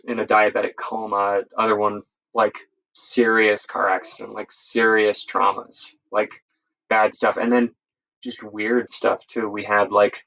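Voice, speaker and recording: Low, gravelly man telling stories casually. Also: pushed and bright, rough recording. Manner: halting, self-correcting, informal. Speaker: guest, emergency medical worker sharing stories. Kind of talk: casual storytelling.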